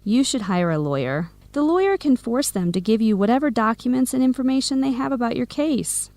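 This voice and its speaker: Keen young best friend, kinda high voice, radio drama, really dry sound. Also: female voice, expressive, fairly quick, darkish tone, dry recording. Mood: concerned. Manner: clearly phrased scripted explanations. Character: Samira, Helen's enthusiastic American best friend, aspiring business owner. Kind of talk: English-learning radio drama acting.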